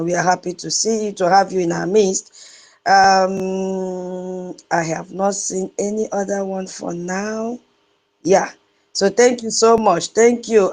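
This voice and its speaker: A woman with a Nigerian accent hosting a live online audio space, greeting and welcoming listeners by name, some in her own dialect. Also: mid-range voice. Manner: greets listeners one by one in dialect, sing-song and warm.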